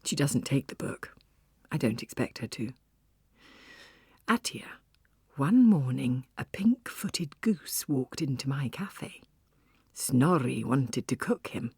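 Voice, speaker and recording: An actress playing a weary, wry woman cafe owner, telling her story in an audiobook with an expressive, breathy, conversational delivery. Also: rumbling low, slow, long pauses, dry recording, studio quality, full character voice. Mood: wry, reflective. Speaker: audiobook narrator. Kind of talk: first-person dramatic audiobook narration.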